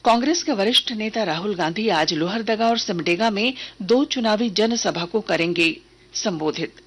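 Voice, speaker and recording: A woman reading a scripted radio news bulletin in a formal, measured manner. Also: medium pitch, slightly rough. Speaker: news reader.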